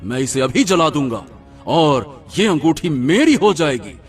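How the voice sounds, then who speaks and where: A man voicing a stern, animated mystic. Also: fairly high pitch, unhurried, breathy edge, soft background music, exaggerated voice. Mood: defiant. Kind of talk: dramatic Hindi cartoon story acting.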